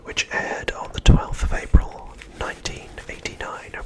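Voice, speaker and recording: A middle-aged man narrating an ASMR recap in an unvoiced, breathy whisper, gently and unhurriedly with deliberate pauses. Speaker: ASMR host.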